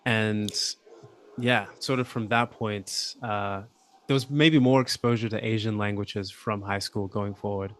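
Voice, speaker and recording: A young man from Australia, a podcast guest, talking in a relaxed, thoughtful way with lots of fillers and hedges. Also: fairly low pitch, a little slow. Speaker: podcast guest, app founder. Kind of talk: casual interview conversation.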